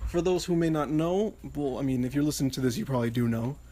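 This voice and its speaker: A man's voice: medium pitch, quick pace, mellow. A young man hosting a casual podcast panel outdoors at night, laid-back and steering the chat. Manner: laid-back, hosting over side chatter. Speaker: podcast host.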